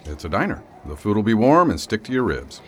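A man's voice: on the low side, very animated, brisk, fairly bright tone, slight background noise. A man acting as a wry, folksy, kindly small-town sheriff in a radio drama. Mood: kindly, reassuring.

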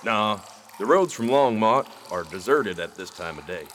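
Man acting an animated, agreeable outlaw forger. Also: on the low side, normal speed, a little hiss or hum. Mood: easygoing. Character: agreeable, businesslike male outlaw forger in western. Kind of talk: western radio drama acting.

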